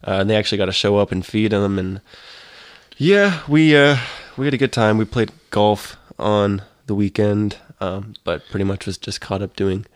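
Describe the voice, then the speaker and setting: A young man co-hosting a casual podcast, relaxed and hesitant, with a stuffy nose from allergies. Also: fairly low pitch, normal speed, dry recording, clean studio sound. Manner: relaxed, laid-back, hesitant with fillers. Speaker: podcast co-host, coffee taster. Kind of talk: casual chat.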